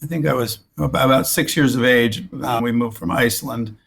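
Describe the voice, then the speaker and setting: Gravelly-voiced guy in his 60s, rambly, lots of restarts, mid pitch. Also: brisk, fairly bright tone, slight background noise. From Alaska. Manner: rambling with frequent restarts and repeated words. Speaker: guest, orthopedic spine surgeon. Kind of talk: reflective interview conversation.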